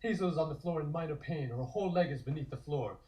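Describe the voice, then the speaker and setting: A man's voice: fairly high pitch, quick pace, warm tone, low-quality recording. A man narrating scripted scenes in the present tense for a comic table read.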